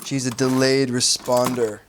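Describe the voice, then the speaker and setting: A man playing a hungover, deadpan, slightly awkward young single man in a sitcom. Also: mid-range voice, unhurried, a little hiss or hum, lightly acted. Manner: deadpan, dry.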